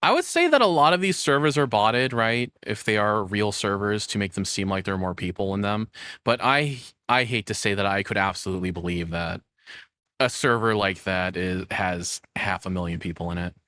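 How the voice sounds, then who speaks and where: A man's voice: on the low side, animated, moderate pace, sharp tone, dry recording, studio quality. A man giving blunt, opinionated, off-the-cuff commentary as a streamer, with casual swearing. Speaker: streamer reacting to a video.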